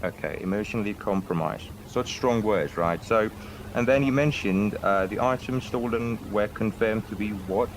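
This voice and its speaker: A man acts out a defence lawyer with a fairly low voice, courteous yet ready to challenge. He questions in an improvised courtroom roleplay, over game voice chat with clear background noise. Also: normal speed, close to an everyday voice. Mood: courteous, probing.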